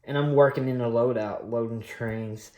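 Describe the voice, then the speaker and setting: A man in his late thirties telling his story on a coin collecting vlog, rambling and relaxed with many pauses and fillers. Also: mid-range voice, reverberant. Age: late 30s. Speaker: coin collecting channel host. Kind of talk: casual personal storytelling while unboxing.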